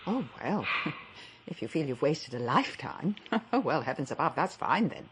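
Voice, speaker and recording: A woman's voice: sits low, sing-song, a little slow, background noise. An actress playing a sarcastic, exasperated older wife who scolds her husband in a radio drama. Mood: indignant.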